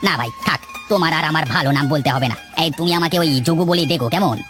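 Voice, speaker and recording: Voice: medium pitch, very fast, close to an everyday voice. An actor voicing a proud, touchy village man in a cartoon, bantering and argumentative in story dialogue. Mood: indignant. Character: touchy village messenger, proud of his long name. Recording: loud music under the voice.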